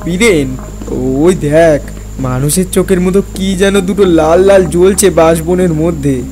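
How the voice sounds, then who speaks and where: A man's voice: high voice, measured pace, warm tone, faint music behind. A man playing a timid, superstitious villager in a horror cartoon, speaking fearfully and hesitantly. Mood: frightened.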